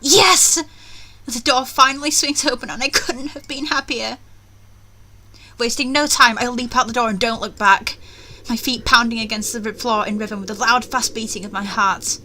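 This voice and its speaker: A woman's voice: on the high side, steady tone, moderate pace, sharp tone, a little hiss or hum. A woman hosting a gaming video, reading a story aloud theatrically with casual commentary. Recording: faint music behind.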